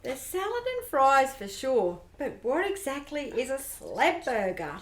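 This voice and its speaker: A woman's voice: high-pitched, very animated, a little slow. A woman playing a curious, upbeat young space traveller in an amateur radio play. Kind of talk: amateur radio drama acting. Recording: roomy echo.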